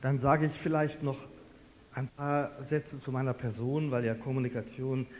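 A man in his sixties from Westphalia, preaching to a small gathering in a conversational, personal way with lively emphasis. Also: mid-range voice, normal speed. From Westphalia, Germany. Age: 60s. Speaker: pastor. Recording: reverberant hall with faint hiss.

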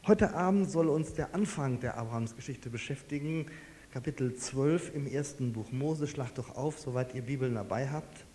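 Older German pastor in reverberant hall. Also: male voice, mid-range voice, normal speed. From Westphalia, Germany. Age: 60s. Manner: conversational, personal, lively emphasis. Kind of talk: sermon to a small gathering. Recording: reverberant hall with faint hiss.

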